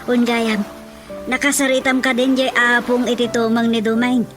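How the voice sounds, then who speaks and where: A woman playing a fussing, soft-hearted mother in a radio drama comedy. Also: fairly high pitch, flat delivery, normal speed, lightly acted. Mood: concerned. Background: music.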